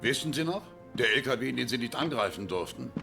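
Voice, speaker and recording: An actor playing a stern, commanding British general giving grave briefings in a war game cutscene. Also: male voice, mid-range voice, lively intonation, fairly quick, gravelly, music in the background. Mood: insistent. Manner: authoritative, grave. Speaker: video game character in cutscenes.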